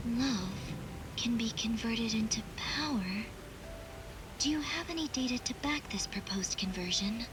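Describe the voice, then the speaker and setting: Young female game AI, logical, clipped, aloof, fairly high pitch, music behind. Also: expressive, a little slow, low-quality recording. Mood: puzzled, reserved. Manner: clipped, formal, detached. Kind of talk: video game cutscene voice acting.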